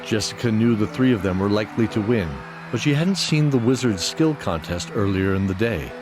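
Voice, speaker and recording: A man's voice: fairly low pitch, animated, fairly quick, over music. A man giving scripted narration of a fantasy story as its narrator.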